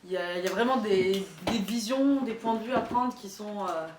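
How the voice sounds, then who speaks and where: A woman talking casually and reflectively, halting as she searches for her words. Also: medium pitch. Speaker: event programmer. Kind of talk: casual reflective interview talk. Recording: echoey room, off-mic, faint noise.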